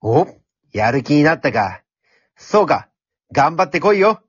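A man voicing a brash, cheeky talking pencil in an amateur radio drama, speaking in rough, cocky, encouraging slang. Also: fairly high pitch, sing-song, a little slow, no room sound, lo-fi sound, full character voice. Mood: sympathetic, encouraging.

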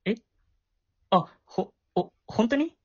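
A man's voice: sits high, animated, slow pace, a little mellow, husky, no room sound, highs cut off, full character voice. A man acting a shy, awkward middle-school boy with a crush in an amateur voice drama, flustered and prone to stammering. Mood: flustered, eager.

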